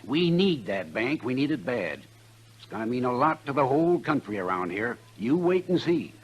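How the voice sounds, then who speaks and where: An actor playing an assured American small-town banker in a 1950s radio western, brusque and emphatic. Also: male voice, medium pitch, sing-song, moderate pace, husky, highs cut off. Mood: insistent.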